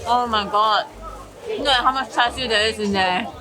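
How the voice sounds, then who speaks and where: A young woman with a Singaporean accent, chatting casually as a travel vlogger while tasting street food, eager and quick to laugh. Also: fairly high pitch, crowd noise. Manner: chatty, enthusiastic tasting remarks with frequent laughs. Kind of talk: casual food vlog commentary. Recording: music bed over the voice.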